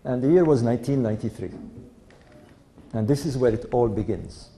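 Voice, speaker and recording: An older man from Egypt with a mixed European-Egyptian accent, telling his family story to an audience in an unhurried, anecdotal way and chuckling now and then. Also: mid-range voice, dark tone. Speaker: speaker giving a talk to an audience. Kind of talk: storytelling talk.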